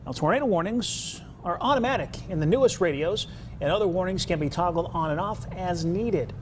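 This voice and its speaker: A man's voice: sits high, expressive, fairly quick, slight background noise. A man presenting weather safety advice as a TV forecaster, in a conversational broadcast style.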